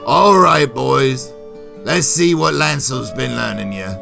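A man voicing a gruff, hearty old soldier and father with blunt, rustic dialect in a fantasy audiobook, music behind. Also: mid-range voice, slow, bright, forceful tone, full character voice. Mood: confident.

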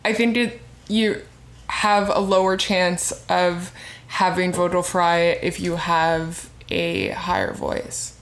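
Young woman with vocal fry, roomy echo. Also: fairly low pitch, slow pace. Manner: chatty, lots of fillers. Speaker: podcast co-host. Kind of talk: casual chat.

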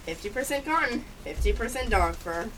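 A woman's voice: on the high side, lively intonation, a little fast, a bit bright. A woman voicing a sassy, mean pet cat with a sarcastic, haughty manner in an amateur radio comedy. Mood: snide. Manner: sarcastic, haughty, reading from a script. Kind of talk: amateur old-time radio comedy drama. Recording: distant mic with faint background noise.

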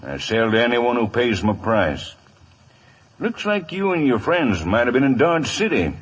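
Shady frontier trader: rough husky voice, lively swings, smug and shrewd, radio western. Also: male voice, medium pitch, measured pace, exaggerated voice, poor audio. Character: shrewd, unscrupulous male frontier gun trader. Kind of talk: 1950s radio western acting.

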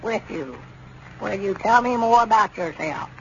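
An actor playing a fretful, flustered old country man with a rural Southern accent, halting and repetitive, in a 1930s radio comedy. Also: sits high, expressive, creaky, heavily acted. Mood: halting, curious. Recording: muffled, hissy 1930s radio recording.

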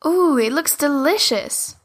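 A woman's voice: high-pitched, animated, slow pace, voice pushed up. A woman voicing a kind, helpful young girl in a storybook, speaking cheerfully and eagerly.

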